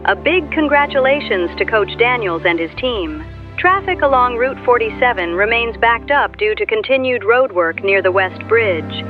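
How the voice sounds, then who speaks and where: An actress playing an upbeat small-town local radio news anchor, with an American accent and polished chirpy delivery. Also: female voice, fairly high pitch, lively intonation, normal speed, close to an everyday voice. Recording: dull radio broadcast, music behind.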